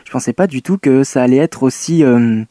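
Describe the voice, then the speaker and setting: Young man, mellow dry voice. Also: mid-range voice, fairly quick, no echo. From France. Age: 20s. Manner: hesitant, many fillers and restarts. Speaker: guest, young filmmaker. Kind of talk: casual interview.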